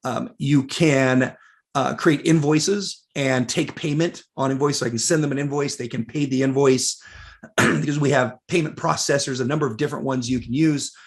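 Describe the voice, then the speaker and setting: A man with a raspy voice, losing it and clearing his throat, casually presenting a live software webinar with many fillers. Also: medium pitch, steady tone, normal speed. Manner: casual, many fillers, reads out viewer questions.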